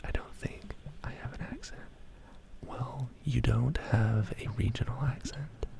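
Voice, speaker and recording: Male ASMR streamer, from Texas, slow gravelly whisper, close studio mic. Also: medium pitch. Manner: soft whispering, chatty, reads and answers chat. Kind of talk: whispered ASMR livestream chat. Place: home studio. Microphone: studio condenser mic.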